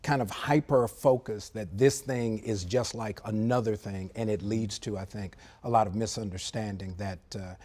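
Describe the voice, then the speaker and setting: Measured, mellow-voiced male expert guest. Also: on the low side, fairly even intonation. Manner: measured, analytical, with frequent fillers. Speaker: former air traffic controller, studio guest. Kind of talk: live TV interview. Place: TV studio.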